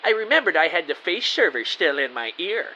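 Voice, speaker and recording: A man playing an earnest, excitable rookie spy who narrates and acts out his own adventure in an audio drama with eager melodrama. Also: a little high, fairly quick, highs cut off, heavily acted. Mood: dramatic, anxious. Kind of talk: first-person audio drama narration with dialogue. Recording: faint background hiss.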